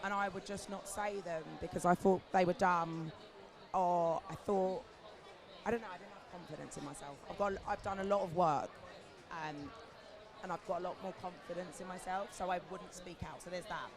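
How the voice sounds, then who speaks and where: A British woman in her forties, an agency founder talking candidly on a festival panel, her voice hoarse from talking. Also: on the low side, normal speed. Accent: British English. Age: 40s. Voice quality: animated, chatty, swears casually. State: hoarse, lost voice. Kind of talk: candid conversational panel talk. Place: outdoor festival stage with crowd. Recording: live event, crowd noise behind.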